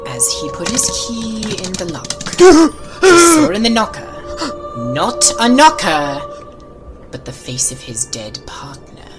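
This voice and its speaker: A woman narrating a classic ghost story as a dramatic audiobook, theatrical and suspenseful, with a tendency toward hushed, breathy delivery. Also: lowish voice, sing-song, a little slow. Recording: loud music under the voice. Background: music.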